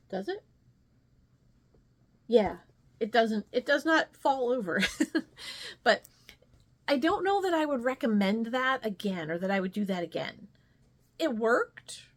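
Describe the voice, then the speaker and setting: A woman's voice: a little high, big pitch swings, slow, pauses often. A woman chatting casually as a craft vlogger, rambling and thinking aloud as she shows her projects. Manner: rambling, thinking aloud, trailing tag questions. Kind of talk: casual chat, showing stitching projects.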